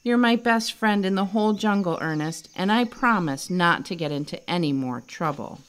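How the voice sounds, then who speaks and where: A woman voicing a mischievous, easily scared little boy monkey in a storybook, pleading and excitable. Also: lowish voice, normal speed, natural voice. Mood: shaken, sorry.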